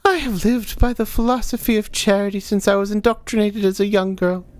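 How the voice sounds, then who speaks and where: A frail, devout old woman from an audiobook, acted by a male narrator. The voice sits far above a man's usual pitch, with an earnest, trembling delivery. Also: fairly even intonation, brisk, darkish tone, no echo, lightly acted. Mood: humbly grateful.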